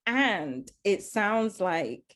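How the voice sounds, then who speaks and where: A woman's voice: mid-range voice, very animated, very slow, fairly bright tone. A woman from Zambia, speaking earnestly as a panelist and often restarting her sentences. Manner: earnest, searching, many restarts. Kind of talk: panel discussion.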